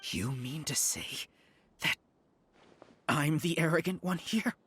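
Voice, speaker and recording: Proud, bitter male villain, sharp-voiced. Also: on the high side, slow pace, slight background noise. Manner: intense, dramatic, resentful. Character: proud, bitter scholar-villain man, wounded by defeat. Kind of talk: scripted video game voice acting. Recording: music behind the voice.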